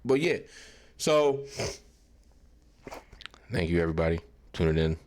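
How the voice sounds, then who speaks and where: A man hosting his own livestream, chatting casually in a husky voice, laid-back and profane, his microphone distance shifting. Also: deep voice, very animated, measured pace, a little mellow. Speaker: streamer and show host. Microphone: desk microphone, distance varies.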